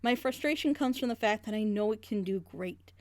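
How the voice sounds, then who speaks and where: A woman's voice: fairly high pitch, a little fast, no echo. A woman in a casual group reaction chat, talking earnestly and passionately in long, rambling stretches. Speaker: reaction group member leading the discussion.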